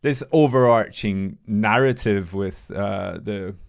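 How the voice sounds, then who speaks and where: A man's voice: fairly low pitch, takes it slowly, gravelly. A man interviewing a guest as a podcast host in a casual, conversational style.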